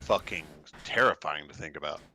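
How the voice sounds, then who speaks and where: A man's voice: rumbling low, normal speed, gravelly, sharp tone, a little hiss or hum. A man playing a tabletop game, bantering casually with joking, quizzical questions.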